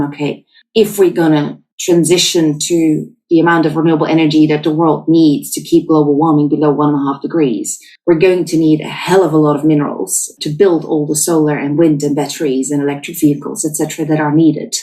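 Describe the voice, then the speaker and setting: Woman, Dutch accent, 40s, low voice, podcast guest, stumbling restarts, off-mic. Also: darkish tone, far from the mic. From Netherlands. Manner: frequent restarts and repeated words. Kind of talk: podcast interview.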